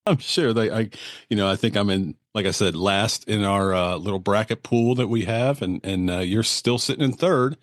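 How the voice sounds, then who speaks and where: A man's voice: on the low side, dry recording, studio quality. A man co-hosting a sports podcast, chatting casually and often restarting his sentences. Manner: halting, many restarts and fillers. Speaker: podcast co-host.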